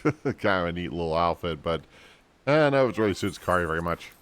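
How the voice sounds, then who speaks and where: A man's voice: low voice, lively intonation, fast talker, fairly bright tone, clean tone. A man giving casual gameplay commentary as a game streamer.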